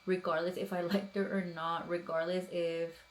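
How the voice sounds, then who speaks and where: A woman's voice: lowish voice, level tone, moderate pace, slight background noise. A woman in her thirties giving earnest, encouraging advice in a casual vlog, talking in loose runs with many fillers. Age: 30s. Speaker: police officer vlogger.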